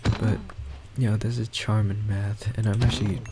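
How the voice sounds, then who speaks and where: A young man speaks in a quiet, low, soft voice, slow and nearly monotone. He hesitates and circles back on himself, with fillers in nearly every sentence. His boosted desktop mic also picks up a clear hiss and keyboard typing. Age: young adult. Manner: hesitant, halting, many restarts and fillers. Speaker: college student. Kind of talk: rambling personal monologue. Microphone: desktop microphone with boosted gain.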